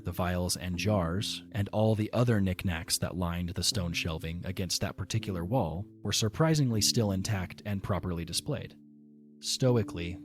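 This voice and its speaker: Man narrating a story, deep voice, measured delivery, music underneath.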